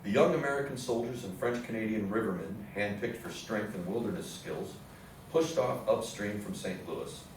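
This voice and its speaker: Low-voiced male narrator, very echoey. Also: moderate pace. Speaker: narrator in a readers theatre play. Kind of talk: scripted historical narration on stage. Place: live readers theatre performance in an echoey indoor space. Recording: very echoey room, distant mic, faint noise.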